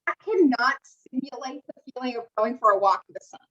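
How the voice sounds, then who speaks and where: A woman's voice: high-pitched, expressive, brisk, a crowd in the background. A woman podcast co-host chatting casually on a remote call, in a bubbly and chatty way. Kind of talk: casual chat. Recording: dull, lo-fi call audio.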